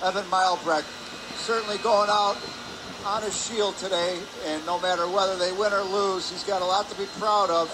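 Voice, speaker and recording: A man's voice: high voice, fairly even intonation, normal speed. A man giving conversational, explanatory color commentary on live hockey from an ice rink. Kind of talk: live sports analysis. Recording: clear background noise, arena ambience.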